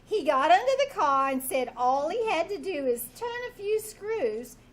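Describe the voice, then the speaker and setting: A woman's voice: high-pitched, lively intonation, normal speed. A woman playing a brassy, sardonic, wisecracking maid in a live old-time radio comedy. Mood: breezy joking. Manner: wisecracking, slangy delivery.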